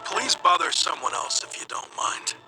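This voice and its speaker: A man's voice: on the high side, very animated, moderate pace, music in the background, poor audio. A man playing a busy, irritated male townsperson in a video game, curt and dismissive. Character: busy, irritated townsman brushing off a pushy seller.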